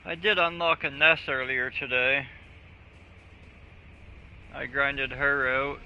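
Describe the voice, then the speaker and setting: A man's voice: medium pitch, flat delivery, slow, a little hiss or hum. A man chatting casually on a gaming live stream in a crude, profane, joking way. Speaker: video game live streamer. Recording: dull lo-fi audio, faint music.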